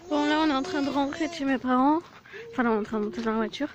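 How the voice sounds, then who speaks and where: A woman's voice: sits high, racing, highs cut off. A young woman vlogging casually at home, stuffy with a cold and hesitant, full of apologetic fillers. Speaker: family vlogger. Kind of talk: casual chatty vlog to camera.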